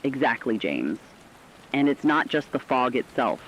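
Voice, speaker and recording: A man's voice: mid-range voice, sing-song, a little slow, a bit bright. A man playing a solemn, articulate radio co-anchor who reports a disaster in a scripted apocalypse drama. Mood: solemn, ominous. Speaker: news co-anchor. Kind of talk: scripted radio news bulletin. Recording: muffled band-limited radio sound, rain noise.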